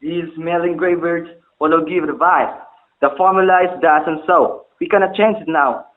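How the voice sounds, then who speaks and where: A man's voice: fairly high pitch, fairly even intonation, normal speed, close to an everyday voice. A man playing a stern, anxious bell-maker father in a formal, declamatory stage drama. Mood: grave, urgent. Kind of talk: amateur stage-play dialogue, formal archaic English. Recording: muffled, phone-like, echoey room.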